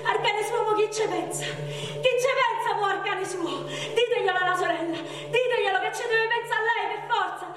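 An actress playing an anguished, grieving woman in a raw theatrical monologue, halting and repetitive, with a Roman accent. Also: very high-pitched. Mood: sorrowful, pleading. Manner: repetitive, halting, swearing, insistent. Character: anguished woman raging and grieving over a young man's death. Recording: very echoey, distant stage recording with music.

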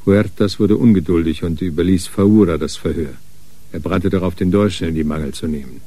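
A man narrating a radio crime drama in a measured, matter-of-fact way. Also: sits low, dark tone. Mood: sober. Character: sober crime story narrator.

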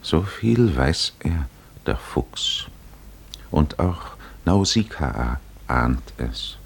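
A man with a deep voice narrates a literary radio drama in the style of the 1950s. He speaks slowly and musingly, measuring out his phrases with deliberate pauses. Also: sing-song, creaky, husky.

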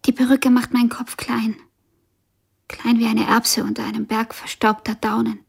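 A woman's voice: a little high, level tone, moderate pace, gravelly, husky, full character voice. A woman acting a stubborn, sensitive young daughter in a German radio drama, plain-spoken and defiant.

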